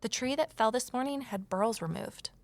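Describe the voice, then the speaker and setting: An actress plays an anxious, earnest young woman investigating small-town trouble in an audio drama. Her voice has a frequent fry and sits very dry, and she confides with intensity. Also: fairly high pitch, normal speed, dry recording, close to an everyday voice. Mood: earnest, intent. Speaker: lead character and first-person narrator. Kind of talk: full-cast audio drama acting and narration.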